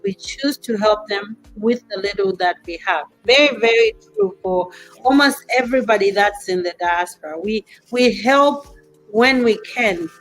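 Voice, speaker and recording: A woman in her fifties hosting a casual talk show, teasing and animated as she reads out viewer comments. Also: medium pitch, moderate pace, soft background music, low-quality recording. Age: 50s. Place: in America, away from her home country.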